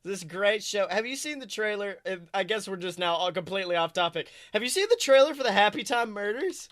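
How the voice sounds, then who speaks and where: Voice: mid-range voice, expressive, brisk, fairly bright tone. A podcast co-host chatting casually and comedically about movies, rambling, jokey and loose with swearing.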